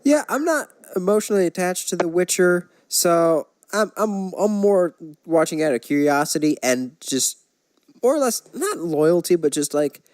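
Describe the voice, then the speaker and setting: A man's voice: sits high, big pitch swings, normal speed, a little mellow, no room sound. A man talking casually about movie news as a podcast host.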